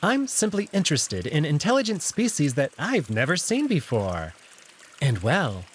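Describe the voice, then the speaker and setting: A sly jackal noble, acted by a man, slightly high in pitch and very animated, needling with mock courtesy. It is a visual novel character voice over faint background noise and trickling water. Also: moderate pace. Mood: smug, taunting. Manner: smooth-talking, mock-polite, taunting.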